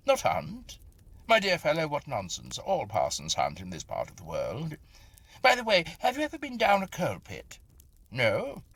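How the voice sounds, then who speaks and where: A man voicing a hearty, commanding Victorian country gentleman, a breezy and effusive dandy in a ghost story. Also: a little high, wildly swooping pitch, normal speed, gravelly, voice pushed up, heavily acted. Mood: jovial, insistent.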